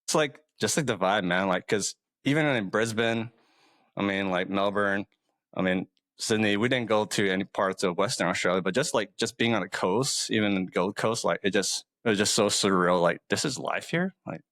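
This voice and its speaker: Laid-back deep-voiced male podcast host. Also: moderate pace, a bit bright, dry recording. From Hawaii. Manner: laid-back, says "man" and "like" often. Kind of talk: casual interview chat.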